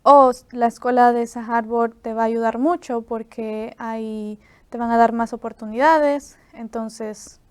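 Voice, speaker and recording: Nineteen-year-old Ecuadorian woman guest, smooth flat voice, soft, lots of fillers. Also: a little high, measured pace, no echo. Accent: Ecuadorian Spanish. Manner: soft-spoken, many "am" fillers. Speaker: student guest. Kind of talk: interview.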